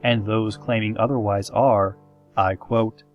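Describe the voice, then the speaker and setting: A man playing a solemn, eerie pony radio host, reading scripted parody news in a measured, formal deadpan. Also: fairly low pitch, dull audio, faint music behind, lightly acted.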